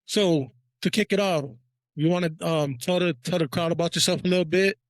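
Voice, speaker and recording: Man, podcast host, jokey upbeat questions, highish pitch, dry close mic. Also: a little fast, no echo. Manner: playful, joking hype-man delivery. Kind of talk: casual podcast intro and questions.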